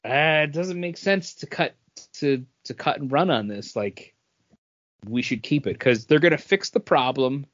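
A man's voice: a little high, measured pace, fairly bright tone, no echo. A man in his forties talks casually, as a co-host does on a podcast. He rambles and doubles back over his phrases. The recording is very dry and a bit muffled. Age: 40s. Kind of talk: casual chat, beer review.

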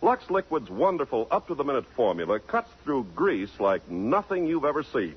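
American guy doing old 50s radio announcer ads, really raspy, dull lo-fi sound. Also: mid-range voice, sing-song, moderate pace. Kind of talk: 1950s radio announcing and folksy scripted ad reading. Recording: muffled 1950s radio broadcast.

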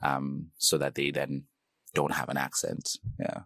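A man's voice: rumbling low, level tone, a little slow, creaky, fairly bright tone, no room sound, studio quality. A young man from Nigeria with a Canadian English accent and Nigerian traces, talking casually and hesitantly in an interview, with many likes and yeahs.

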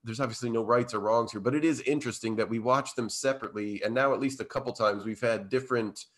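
A man co-hosting a podcast in a casual chat, slightly hoarse and blocked up, rambling with lots of fillers and restarts. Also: mid-range voice, steady tone, fast. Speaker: podcast co-host.

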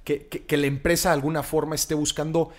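A woman hosting an interview, lively and quick to affirm her guest. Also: low voice. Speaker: interview host. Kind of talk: conversational interview.

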